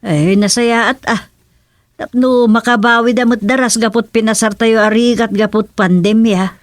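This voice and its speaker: A woman's voice: on the high side, fairly even intonation, normal speed, no echo, clean studio sound, lightly acted. A woman playing a curious, chatty neighbour in an Ilocano radio comedy drama, with an Ilocano accent.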